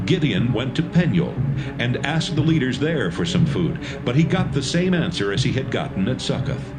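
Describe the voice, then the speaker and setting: A man narrating a dramatised scripture reading with a measured storytelling delivery. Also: medium pitch, sing-song, loud music behind the voice, poor audio.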